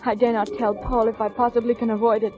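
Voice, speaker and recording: Anxious, haunted wife for vintage radio suspense actress performing, highish voice, dramatic delivery, dull old recording with music behind. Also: female voice, little pitch movement, fast talker, lightly acted. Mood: haunted, dread. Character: anxious, haunted vaudeville wife longing for divorce. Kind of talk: 1940s radio suspense acting.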